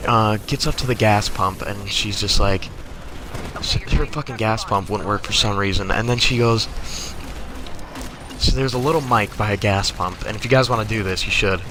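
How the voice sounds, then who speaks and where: A man's voice: fairly low pitch, moderate pace. A young American man telling casual comic stories over gameplay in a profane, exasperated, conversational way. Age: young adult. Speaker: gaming video creator. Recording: noticeable background noise.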